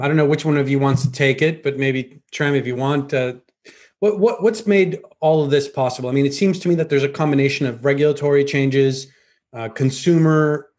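A man's voice: medium pitch, a little mellow. A man in his forties hosting a podcast on a video call, upbeat, with long run-on questions. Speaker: podcast host. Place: home room indoors.

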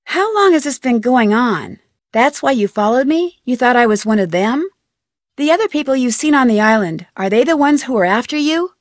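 A woman's voice: a little high, lively intonation, normal speed, no echo, clean studio sound, natural voice. A woman playing an inquisitive, persistent young amateur detective in a video game, speaking in a polite, earnest way. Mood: pressing, suspicious. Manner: polite, earnest, crisply questioning. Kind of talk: video game dialogue voice acting.

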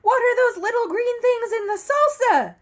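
A woman in her thirties reading a children's picture book aloud at home, expressively, with theatrical emphasis and deliberate phrasing. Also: very high voice, expressive. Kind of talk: animated read-aloud to children. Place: indoor room at home, seated at a table.

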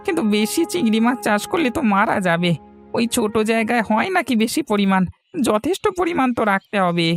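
A man's voice: very high voice, sing-song, brisk, vocal fry, faint music behind, full character voice. A man voicing an earnest, anxious younger-brother farmer in a fairy-tale cartoon. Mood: worried.

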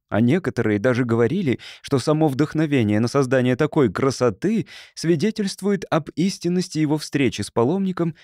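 A man reads literary fiction as an audiobook narrator. His pitch is medium and the recording is dry, with very little room sound. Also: a little mellow, no room sound.

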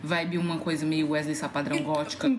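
A woman's voice: low voice, flat delivery, brisk, a crowd in the background. A woman chatting casually and informally as a podcast guest, telling stories with plenty of slang. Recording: roomy echo, faint background noise.

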